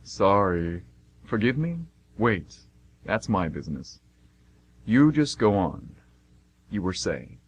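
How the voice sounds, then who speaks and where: A man's voice: fairly low pitch, very animated, slow pace, long pauses, highs cut off, heavily acted. A man playing God as a smug, jovial, teasing deity in a comedic amateur radio play, breezy and fond of puns. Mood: amused, skeptical. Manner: breezy, self-satisfied, punning. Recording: noisy amateur radio recording with hum.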